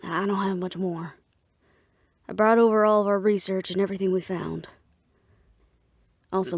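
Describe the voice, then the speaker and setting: A woman acting a guarded, businesslike plotter in an audio roleplay drama, speaking in a measured, serious way. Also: lowish voice, creaky, no room sound, clean studio sound.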